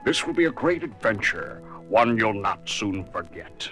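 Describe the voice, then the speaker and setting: A wise old wandering wizard for a children's storybook record. His low voice rises and falls expressively, kindly and reassuring, with faint music behind. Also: very animated, a little slow, a bit bright. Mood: calm, reassuring.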